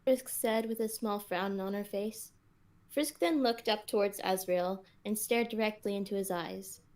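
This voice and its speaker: A woman's voice: medium pitch, measured pace, a little mellow. A woman on a podcast, reading scripted story narration and chatting casually with the group.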